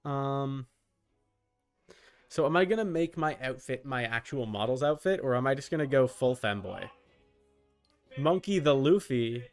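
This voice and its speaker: A man's voice: medium pitch, animated, measured pace, pauses often, soft background music. A man chatting casually and swearing as he livestreams while setting up a game character. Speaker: streamer.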